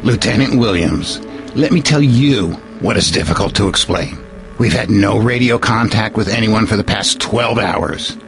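A man playing a gruff army colonel in an audio drama, irascible, barking and incredulous. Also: lowish voice, expressive, moderate pace, over music. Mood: angry, incredulous.

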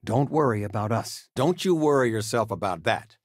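An actor playing a warm, middle-aged father in a video game, talking in a folksy, affectionate, gently joking and conversational way. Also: on the low side, animated, normal speed, dry recording, clean studio sound. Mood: reassuring, tender. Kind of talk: natural, conversational game character dialogue.

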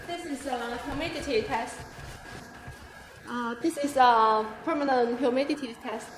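A young woman guiding visitors through an office and factory, speaking haltingly with many fillers. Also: high voice, soft background music. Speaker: company guide. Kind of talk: factory tour walkthrough. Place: office and factory floor, indoors. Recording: noisy factory and office background.

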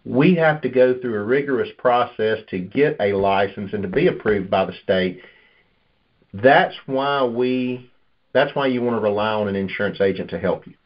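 A man's voice: lowish voice, moderate pace. Guy doing sales coaching on a conference call, talks persuasive and explains stuff, bit muffled. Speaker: insurance sales manager and trainer. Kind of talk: conversational sales coaching with role-play pitches. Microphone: conference call line. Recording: dull, lo-fi conference call audio.

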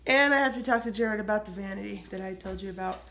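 A woman's voice: mid-range voice, fast talker, fairly bright tone, a little hiss or hum. A woman in her thirties with an American accent, chatting to the camera while she renovates an unfinished laundry room, filmed on a phone. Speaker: vlog host. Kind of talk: casual home renovation vlog. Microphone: phone camera held at a distance. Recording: echoey room, distant phone mic.

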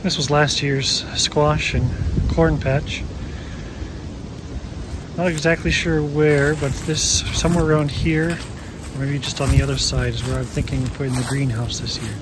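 A man's voice: on the high side, steady tone, moderate pace. A man in his thirties giving a casual tour of his garden outdoors, explaining his plans in a plain, practical way into a phone held at arm's length. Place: outdoors on a snowy rural acreage. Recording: loud wind and outdoor noise.

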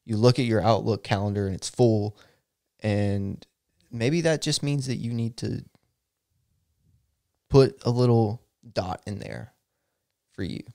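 A young man with a lowish, gravelly voice chatting on a podcast. He talks in an animated way, laughs easily and keeps checking in with "right?". The sound is dry and close on a boom-arm mic in a small room. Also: no room sound. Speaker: podcast co-host. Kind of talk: casual chat. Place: small home studio room.

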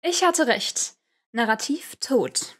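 A man's voice: very high-pitched, expressive, slow pace, a bit bright, voice pushed up, heavily acted. A man voicing a smug, commanding woman investigator in an audio story.

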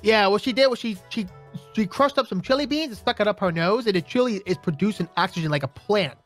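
A young man giving silly, excitable improvised roleplay commentary as co-host of a gaming video, often repeating phrases. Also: high voice, fast, faint music behind.

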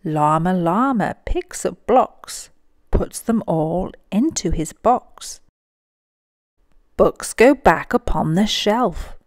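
A woman reads a picture book aloud for young children. Her delivery is extremely unhurried and deliberate, with lively, wide-ranging intonation and dramatic emphasis. The sound is dry and close. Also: lowish voice, no room sound.

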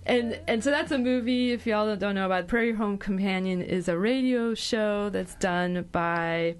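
Female radio host, medium pitch, chatty and jokey, casual interviewing, studio mic. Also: normal speed. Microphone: studio broadcast microphone.

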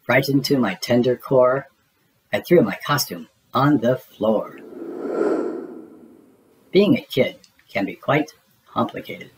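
A man reading a spooky rhyming children's story aloud in a theatrical, deliberate way, as a storybook narrator. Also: mid-range voice, animated, husky, low-quality recording.

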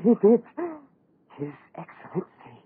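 An actor playing an excitable, flustered man bringing news, stammering and repeating himself in 1930s radio acting style. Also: measured pace, slight background noise, close to an everyday voice. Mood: excited, flustered. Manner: stammering, repetitive, agitated. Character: excitable, flustered male messenger bursting with news. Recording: tinny 1930s radio recording.

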